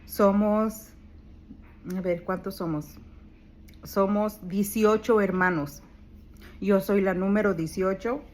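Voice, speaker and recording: A woman's voice: medium pitch, fairly even intonation, slow pace, pauses often, a little mellow, rough recording. Older Purépecha-accented woman. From Michoacán, Mexico. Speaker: vlogger. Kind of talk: casual home vlog. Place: home in California.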